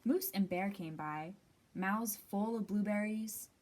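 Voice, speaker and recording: A woman's voice: mid-range voice, slow pace, darkish tone, slight background noise. Woman reading expressively to children. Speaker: library storytime host. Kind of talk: expressive children's picture-book read-aloud.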